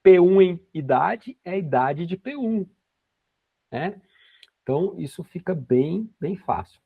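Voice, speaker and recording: A man in his forties walks through a coding lesson step by step, checking in with quick tag questions as he goes. His voice is gravelly and a little husky, set slightly high for a man. The recording is dry and dull, like a cheap desk mic. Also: animated, no room sound. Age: 40s. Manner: explains step by step, with frequent tag questions and restarts. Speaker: programming teacher.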